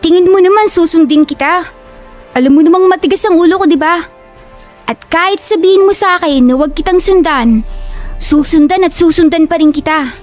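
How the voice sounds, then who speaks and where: A headstrong young woman played by a woman, fiercely loyal and teasing. Her voice is high and bright with youth, with faint music underneath. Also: fairly quick. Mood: devoted. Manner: playful, headstrong, affectionate. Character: stubborn, devoted young woman.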